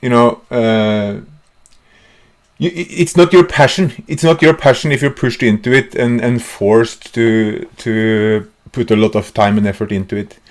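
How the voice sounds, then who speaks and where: A man's voice: medium pitch, a little slow. A man hosting a casual interview, asking long, stumbling questions. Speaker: host.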